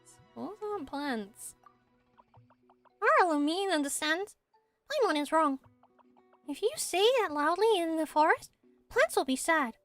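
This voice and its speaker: Tiny timid forest spirit, very high. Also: female voice, animated, a little slow, long pauses, fairly bright tone, no room sound, soft background music, exaggerated voice. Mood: earnest, scolding. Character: small timid childlike forest spirit, earnest and naive. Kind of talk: game character voice acting, fan dub.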